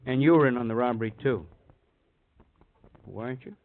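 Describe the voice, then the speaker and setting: An actor playing a no-nonsense police interrogator in 1950s radio drama, questioning curtly and insistently. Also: male voice, medium pitch, moderate pace, lightly acted. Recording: dry, muffled old radio recording.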